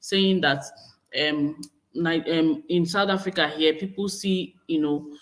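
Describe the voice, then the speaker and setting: A woman's voice: deep voice, fairly even intonation, unhurried. Chatty Nigerian woman vlogger. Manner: chatty, repeats and corrects herself, reads viewer comments aloud. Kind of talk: casual talk to camera.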